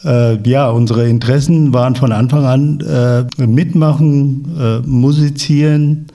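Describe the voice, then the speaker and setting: A man in his fifties from Turkey, a club chairman, answering interview questions in a relaxed way with fillers. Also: medium pitch, unhurried, creaky. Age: 50s.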